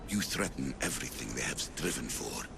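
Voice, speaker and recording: An actor playing an ancient, solemn male scholar in a video game, with grave, measured, oracular delivery. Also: sing-song, full character voice. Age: older. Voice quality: very deep, gravelly. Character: ancient solemn male scholar, father obsessed with hidden knowledge. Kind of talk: dramatic game cutscene acting. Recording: music behind the voice.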